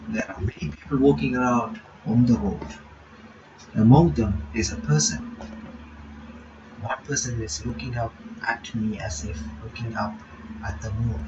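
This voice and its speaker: A man's voice: medium pitch, far from the mic, dull audio, background noise. Casual man reading a game aloud. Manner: casual, thinking aloud, halting. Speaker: game reaction streamer. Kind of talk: reading a visual novel aloud with commentary.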